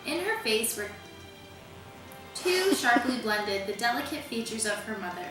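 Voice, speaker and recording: Woman, theatrical amateur novel reading, highish voice, giggly, prone to stumbles, music underneath, very echoey. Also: big pitch swings, unhurried. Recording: echoey room, music behind.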